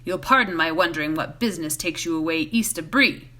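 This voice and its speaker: A suspicious old-world gatekeeper from a storybook, voiced by a woman. The voice drops lower and turns gruff. He probes and mutters, wary of strangers. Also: brisk, sharp tone. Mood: suspicious, probing. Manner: gruff, probing, muttering low. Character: gruff, suspicious village gatekeeper, a grown man. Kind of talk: storybook character voice in a read-aloud.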